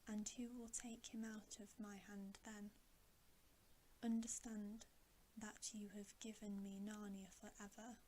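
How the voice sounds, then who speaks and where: A woman voicing a cruel, gloating storybook witch queen in a breathy, deliberate, commanding whisper. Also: mid-range voice, lightly acted, low-quality recording. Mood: gloating, menacing. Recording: steady audible hiss.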